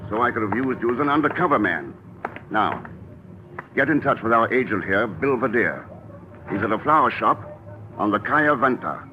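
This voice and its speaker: An actor playing a tough, wisecracking spy in an old radio drama, talking in a dry, brisk way. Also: male voice, medium pitch, very animated, over music, natural voice. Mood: wry. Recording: muffled old radio broadcast with hiss.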